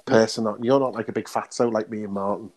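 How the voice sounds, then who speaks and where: A man's voice: lowish voice, fairly even intonation, racing, a little mellow. A man hosting a podcast, chatting casually in a jokey, teasing, self-deprecating way. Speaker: podcast host. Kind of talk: casual chat.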